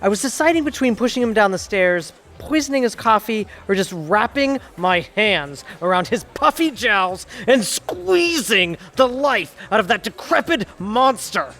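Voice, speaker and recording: Whiny, high-pitched, creaky young lawyer. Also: male voice, lively intonation, normal speed, hardly any pauses, fairly bright tone, faint music behind. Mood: gleeful plotting. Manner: whiny, melodramatic. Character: whiny, exhausted, overdramatic male junior attorney plotting murder. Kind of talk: audio theatre comedy acting.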